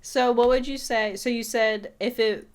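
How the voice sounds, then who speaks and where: A woman's voice: a little high, steady tone, measured pace. A woman co-hosting a podcast in a casual conversational style, with wry, dry asides. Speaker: podcast co-host. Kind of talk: casual chat, paraphrasing notes.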